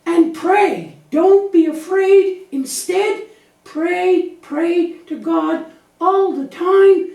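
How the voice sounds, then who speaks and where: A woman's voice: very high voice, drawn-out words, warm tone, heavily acted. A woman voicing an anxious, childlike little lamb puppet in a children's church show, naive, earnest and chatty. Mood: relieved, earnest. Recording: echoey room, distant microphone.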